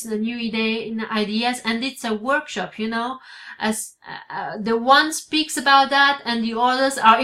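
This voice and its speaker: A woman's voice: a little high, a bit bright. A woman in her forties, Italian by birth and raised in Switzerland, speaking English with an Italian accent. She talks to a webcam from her home office, hesitant, with many fillers and restarts. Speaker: vlogger. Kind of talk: casual vlog to camera. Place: home office with bookshelves, indoors. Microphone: webcam at desk.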